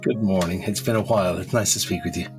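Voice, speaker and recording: A man who works as a therapist, speaking in a calm, measured way and asking reflective questions as a podcast co-host. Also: deep voice, music in the background.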